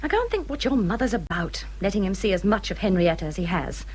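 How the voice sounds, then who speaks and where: An English actress playing a self-important, fretful young married gentlewoman in a period radio drama, plaintive and gushing. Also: medium pitch, sing-song, fast talker, husky. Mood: smug, snobbish.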